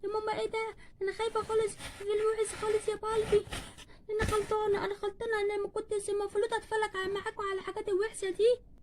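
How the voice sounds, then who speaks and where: A woman's voice: extremely high pitch, fairly even intonation, rapid-fire, darkish tone, a little hiss or hum. A woman voicing a frightened, clingy little girl in doll play, whiny and pleading, with an Egyptian accent. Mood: scared, pleading. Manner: whiny, pleading, repeats herself.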